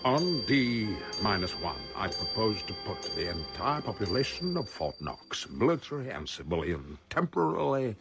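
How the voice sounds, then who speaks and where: An actor playing a pompous criminal mastermind in a radio play, speaking with precise, formal, grandiose diction. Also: male voice, lowish voice, sing-song, normal speed, few pauses, over loud music. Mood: grandly scheming.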